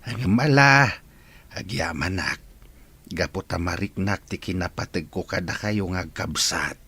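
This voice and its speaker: A man playing a husky old Ilocano father. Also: low voice, measured pace, sharp tone, heavily acted. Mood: gentle. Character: elderly father, gentle and grateful. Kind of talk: hobby radio drama.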